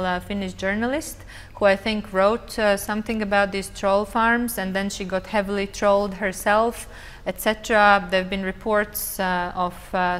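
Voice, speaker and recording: A woman on a conference panel, speaking thoughtfully with frequent pauses and fillers. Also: mid-range voice, a bit bright. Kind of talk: panel discussion. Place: conference hall. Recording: reverberant hall with faint noise.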